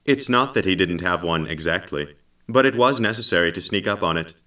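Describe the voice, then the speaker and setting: Man playing a deep, formal AI companion. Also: expressive, pushed and bright, far from the mic. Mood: calm, detached. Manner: measured, formal, detached. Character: male AI companion robot, formal, loyal, detached storyteller. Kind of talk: scripted audio drama narration and dialogue.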